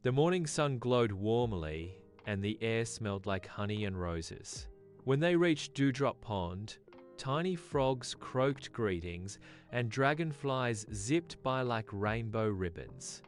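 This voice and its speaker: Woman narrator, very deep pitch, children's bedtime storytelling, soft music behind. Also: expressive, slow.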